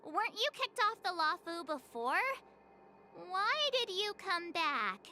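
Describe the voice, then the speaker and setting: A very high, bright childlike voice for a small video game character. It is blunt and inquisitive, drawling slowly through its questions. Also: female voice, big pitch swings, a little hiss or hum, exaggerated voice. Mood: blunt curiosity.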